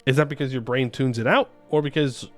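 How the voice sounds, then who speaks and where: A man's voice: medium pitch, steady tone, fairly quick, faint music behind. A man chatting casually on a game livestream, reading and answering viewer comments in a playful, joking way and often repeating his phrases. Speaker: game streamer.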